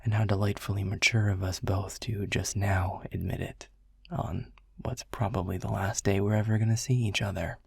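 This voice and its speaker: A man playing a world-weary, grumpy male middle manager who talks in a hushed, breathy, sardonic way and swears casually in an audio roleplay. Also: lowish voice, steady tone, moderate pace, dry recording, clean studio sound, exaggerated voice. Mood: wry, teasing. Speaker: boss.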